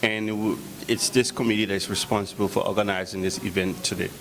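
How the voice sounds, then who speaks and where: A man's voice: on the low side, flat delivery, a little fast, a crowd in the background, rough recording. A man who chairs a community board, answering interview questions hesitantly and repeating words.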